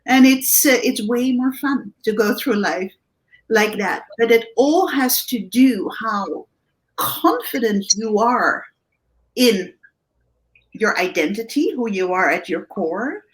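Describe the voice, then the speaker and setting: A woman from the Netherlands with a Dutch accent, a guest coach giving animated interview answers with many restarts and tag questions. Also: fairly high pitch, slow, poor audio. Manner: animated, thinks aloud with many restarts and "right?".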